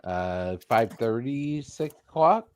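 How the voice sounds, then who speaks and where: A man chats on a casual live stream with short, dry replies. He talks slowly, but his pitch moves a lot. The sound is dry and close. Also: animated, a little mellow, no echo.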